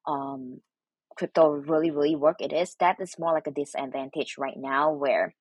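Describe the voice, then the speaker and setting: Soft husky woman. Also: low voice, lively intonation, no echo. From Malaysia. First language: Mandarin Chinese. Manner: halting, many restarts and fillers. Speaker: guest. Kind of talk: interview answers.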